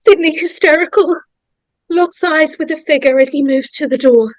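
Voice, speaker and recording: A woman narrator reading horror screenplay stage directions in a dramatic, suspenseful way, with deliberate pauses. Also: sits high, no room sound. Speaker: narrator of a script table read.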